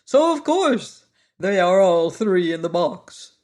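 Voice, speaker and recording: A storyteller voicing a pompous, over-educated insect who lectures the others slowly and loudly. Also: medium pitch, sing-song. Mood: lecturing. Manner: pompous, lecturing, slow and loud.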